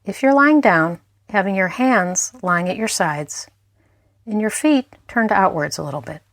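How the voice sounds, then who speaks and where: A woman mindfulness instructor narrating a guided meditation in a gentle, unhurried way, leaving pauses between phrases. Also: mid-range voice, animated, no room sound, studio quality.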